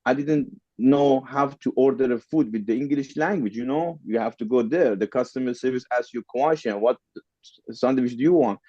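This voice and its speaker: A man's voice: mid-range voice, moderate pace, dark tone, dry recording, dull audio. Podcast guest, man, Persian accent, non-native English, repeats lines for emphasis. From Middle East. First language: Farsi. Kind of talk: casual interview storytelling.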